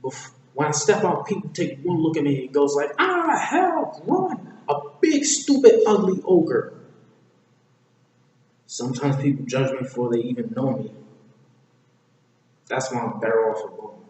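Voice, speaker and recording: A guarded cartoon ogre, played by a man, defensive and misunderstood. His voice sits at an ordinary pitch, far from the mic in a heavily echoing hall. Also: steady tone, fairly quick, darkish tone, close to an everyday voice. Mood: wounded. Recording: echoey hall, far from mic, faint noise.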